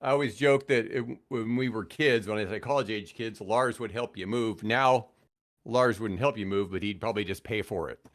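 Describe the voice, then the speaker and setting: A man in his sixties with a fairly low voice, chatting as a guest on a podcast. He tells stories casually, with self-mocking jokes and little acted-out exchanges. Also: normal speed. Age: 60s. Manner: jokey, self-mocking, acts out quoted dialogue. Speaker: podcast guest, retired radio host. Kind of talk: casual anecdotal storytelling.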